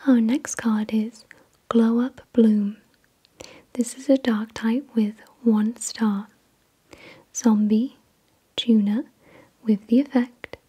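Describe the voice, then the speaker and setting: A woman whispers in a very breathy, airy voice with almost no tone in it. She takes her time, placing each phrase slowly and carefully. The delivery is soothing ASMR, soft and mellow, like someone reading aloud to help a listener drift off. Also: a little high. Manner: slow, careful, soothing enunciation. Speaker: ASMR video host. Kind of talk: soft-spoken ASMR card unboxing, reading descriptions.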